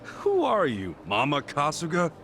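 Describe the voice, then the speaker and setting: A man's voice: fairly high pitch, huge pitch swings, unhurried, over music, heavily acted. A man playing a hot-headed but earnest guy in a video game cutscene, talking in blunt, emphatic slang. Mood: surprised.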